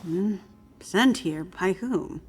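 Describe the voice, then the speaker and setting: Older lady scientist, kinda low voice, guarded n precise, game cutscene w soft music behind. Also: animated. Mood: suspicious. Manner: measured, formal, precise wording. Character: guarded, measured older woman scientist, mentor. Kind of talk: video game cutscene acting.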